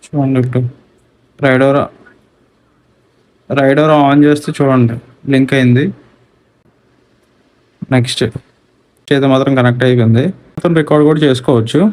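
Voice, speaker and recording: A man's voice: medium pitch, fairly even intonation, moderate pace, pauses often, a little mellow. A man with a Telugu accent giving a casual hands-on product walkthrough as a gear reviewer, mixing in English technical words. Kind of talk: casual unboxing and installation walkthrough.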